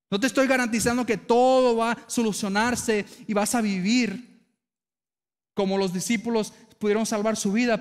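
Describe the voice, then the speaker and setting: A man with an Argentine accent preaching a sermon as pastor, speaking earnestly and calling on the congregation to answer amen. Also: high voice. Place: church sanctuary. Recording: reverberant church hall.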